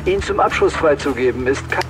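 An actor playing an excited local radio announcer delivering a dramatic news bulletin. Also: male voice, a little high, fairly quick, over music, lightly acted. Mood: urgent, dramatic.

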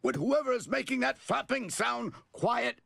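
A shrill, gravelly voice of an irritated man shushing the room, as a host puts it on. It leaps far above a normal speaking pitch and swings up and down. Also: big pitch swings, normal speed, a bit bright, faint music behind, heavily acted.

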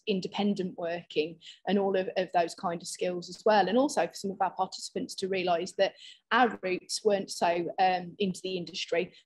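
A woman's voice: on the low side, husky, low-quality recording. A middle-aged woman hosting a panel discussion over a video call, talking with many fillers and restarts. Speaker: panel host.

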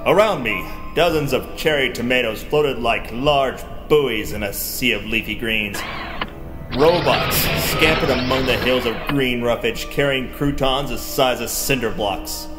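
A square-jawed sci-fi serial hero played by a man, earnest and grandly overblown. He has a mid-pitched voice, with prominent dramatic music behind him. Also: measured pace, natural voice. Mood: dramatic narration. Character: brave, pompous square-jawed space-adventure hero. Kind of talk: pulp radio serial parody with hardboiled narration.

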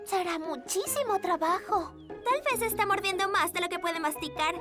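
A woman's voice: extremely high pitch, normal speed. A woman playing a practical, skeptical young girl in a children's cartoon, with a Latin American Spanish accent. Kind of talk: animated children's cartoon dubbing. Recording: music behind the voice.

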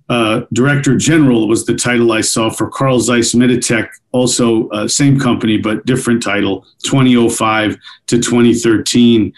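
A middle-aged man hosts a podcast interview over a video call from his clinic office. His voice sits at an ordinary pitch, and he leads the talk in a friendly, orderly way with a few filler sounds. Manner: friendly, methodical, some fillers. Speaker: podcast host, retina surgeon. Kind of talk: interview hosting. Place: doctor's office.

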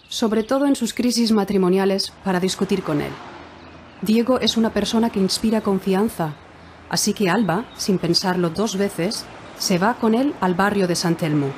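A woman narrating a Spanish learners' audiobook in a clear, measured reading style. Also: medium pitch, a little mellow, a little hiss or hum.

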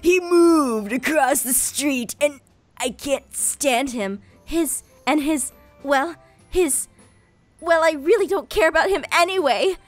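A theatrical, excitable woman hosting and reading a story aloud amid playful banter. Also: high-pitched, slow, no room sound, soft background music. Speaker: host reading fan fiction.

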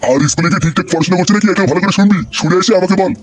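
Cartoon grandpa male actor: old, combative, scolding, rapid-fire and high-pitched, on a noisy track. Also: steady tone, close to an everyday voice. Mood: stern, scolding. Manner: scolding, blustering, profane. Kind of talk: crude comedy cartoon voice acting.

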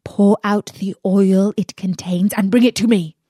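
A woman voicing a scheming, bossy male magician in a storybook, with a loud, deliberate, commanding manner. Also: medium pitch, fairly even intonation, slightly rough, no echo, studio quality.

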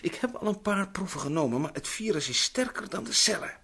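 A chatty night-working scientist. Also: male voice, high voice, animated, fairly quick, vocal fry, a bit bright, full character voice. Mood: earnest. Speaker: radio play actor. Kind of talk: vintage Dutch radio drama acting.

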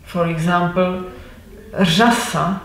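A woman whose first language is Czech speaks very slowly and deliberately, repeating sounds again and again as she teaches pronunciation. She sits indoors at mid distance from a fixed camera, and the room adds a noticeable echo. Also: on the low side, fairly even intonation. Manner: slow, deliberate, reassuring, repeats sounds to demonstrate. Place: indoor room with wooden door and bookshelf. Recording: roomy echo.

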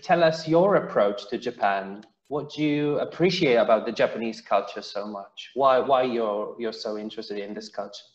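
A man with a Polish accent interviewing an author over a video call, stumbling over repeated words. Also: medium pitch. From Poland. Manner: halting, many repeated words. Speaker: interviewer for a university press. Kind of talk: interview questions. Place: indoors room. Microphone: headphones on video call. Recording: dull echoey video call.

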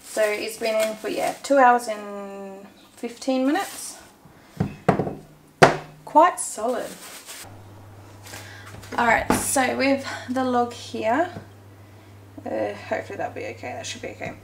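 A woman's voice: a little high, expressive, slow, pauses often. A woman talking casually while she bakes at home, chatting and correcting herself as she goes. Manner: chatty, self-correcting, talks while working with hands. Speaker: home cooking vlogger. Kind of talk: casual baking walkthrough. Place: home kitchen, echoey. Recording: echoey kitchen with faint noise.

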